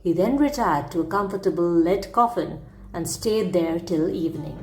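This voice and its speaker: A woman's voice: lowish voice, moderate pace, darkish tone, reverberant, soft background music. A woman narrating a classic humorous story aloud as a storyteller, with theatrical, emphatic phrasing.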